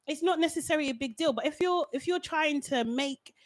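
A woman with a high voice chats in a relaxed group podcast. She is expressive and emphatic, and her sentences are full of laughing asides. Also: a little fast, dry recording, clean studio sound. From Britain. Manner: expressive, laughing asides, frequent "do you know what I mean". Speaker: podcast co-host. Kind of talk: casual group discussion.

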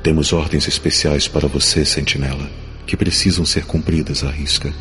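A man's voice: very deep voice, flat delivery, moderate pace, a bit bright, big-room sound, soft background music. A man voicing a stern, cold archangel with formal, imperious delivery in an audiobook drama. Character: stern, cold, commanding male archangel in gold armor.

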